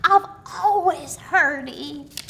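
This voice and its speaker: A woman doing a sly, high-voiced old aunt. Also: drawn-out words, bright, forceful tone, slight background noise, full character voice. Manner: dialect speech, chuckling. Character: old aunt, sly, who only feigned deafness.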